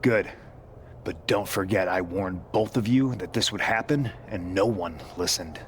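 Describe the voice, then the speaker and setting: A bitter, vengeful wrestler. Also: male voice, low voice, unhurried, slight background noise. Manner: resentful, insistent. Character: bitter, injured man wrestler wanting revenge.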